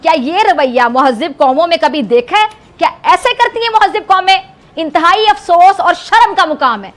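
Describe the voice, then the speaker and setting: A woman's voice: very high-pitched, animated, normal speed. A woman delivering a scolding political monologue, indignant and full of rhetorical questions.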